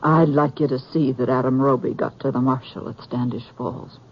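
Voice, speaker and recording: A woman's voice: extremely low pitch, steady tone, normal speed, a little hiss or hum. An American woman playing a worried, earnest frontier woman in a 1950s radio western, speaking with urgent pleading. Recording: muffled old radio recording.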